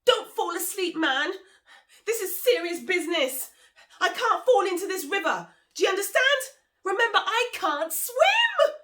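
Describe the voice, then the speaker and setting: A woman voicing an anxious, excitable male monkey in a folk tale, exclaiming in panic and indignation. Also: extremely high pitch, unhurried, pitched above the performer's own voice, heavily acted. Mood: panicked, insistent. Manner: exclaiming, shrieking when alarmed.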